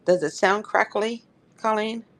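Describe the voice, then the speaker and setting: A woman's voice: medium pitch, unhurried, a bit bright. Woman selling live with a dry throat. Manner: chatty, upbeat, addresses viewers by name. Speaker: live-stream seller. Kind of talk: live auction selling chat.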